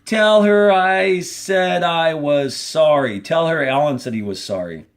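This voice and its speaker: A man's voice: on the high side, measured pace, pitched above the performer's own voice. A man voicing eager, slangy teenage camp boys in a storybook, in a casual, punchy, friendly way. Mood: urgent, casual.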